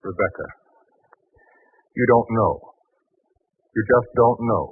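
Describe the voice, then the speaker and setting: An anguished, indecisive family man in an old 1940s radio drama. His delivery is hesitant, brooding and theatrical, with a rough-edged voice of middling pitch on a narrow, lo-fi broadcast recording. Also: takes it slowly, heavily acted. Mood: troubled. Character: anguished, indecisive, doubting husband and father.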